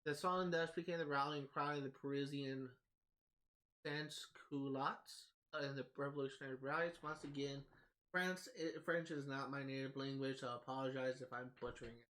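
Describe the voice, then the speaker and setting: A man reading in-game text aloud on a gaming livestream, haltingly, often stumbling over words and names. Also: medium pitch, fairly even intonation, fairly bright tone, poor audio. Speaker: livestream host.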